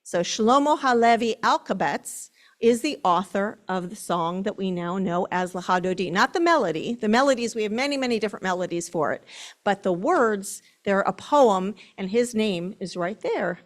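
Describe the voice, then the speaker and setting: A woman rabbi explaining and leading a service for her congregation in a deliberate, instructive way. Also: medium pitch, expressive.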